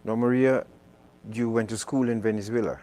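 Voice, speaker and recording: A man's voice: mid-range voice, steady tone, normal speed, mellow. A man hosting a live radio talk show, chatty and prone to repeating words. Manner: conversational, repeats words while searching.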